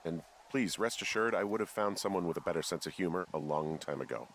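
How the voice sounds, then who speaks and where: An earnest American fire lookout in a naturalistic video game. His voice sits on the low side, conversational and a little halting, with dry humor. Clear ambient noise runs behind him. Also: animated. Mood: dry, reassuring.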